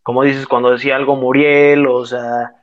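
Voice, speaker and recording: A man with a Mexican accent, co-hosting a relaxed, slangy chat about old cartoons. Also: mid-range voice, normal speed, lo-fi sound. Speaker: podcast co-host.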